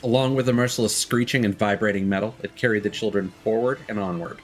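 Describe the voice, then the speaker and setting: A man's voice: lowish voice, fairly even intonation, quick pace, soft background music. A man live-streaming a video game, commenting casually and reading story text aloud.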